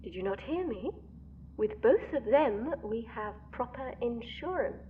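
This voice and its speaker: A high, sing-song villainess who talks down to everyone, swooping up and down as she mocks , voiced by a woman. The sound is tinny and phone-like, set back from the mic over a clear hiss. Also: expressive, a little slow, far from the mic. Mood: patronising. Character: scheming female villain, mocking and condescending.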